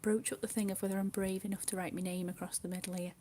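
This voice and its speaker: A woman chatting casually with her viewers while she colours, speaking softly, often half in a whisper and a little hesitant. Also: medium pitch, level tone, fast talker. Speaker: livestream host.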